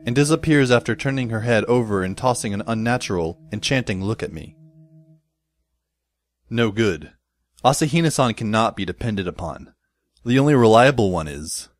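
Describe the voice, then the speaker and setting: A man's voice: medium pitch, lightly acted. A man playing a wry, long-suffering teenage schoolboy who narrates an audio drama in a dry, literary, sardonic way. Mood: exasperated.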